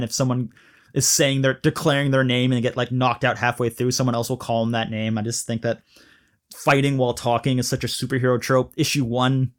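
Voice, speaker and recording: A man in his thirties from Malaysia, co-hosting a podcast and chatting enthusiastically, with a rambling way of talking. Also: mid-range voice. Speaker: podcast co-host. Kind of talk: casual chat.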